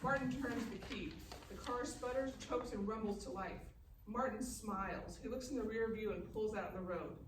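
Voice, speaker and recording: A woman's voice: mid-range voice, moderate pace. Woman narrator in an echoey room. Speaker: stage-direction narrator. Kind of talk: scripted narration at live table read. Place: live reading in a festival room. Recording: echoey room, distant mic, faint noise.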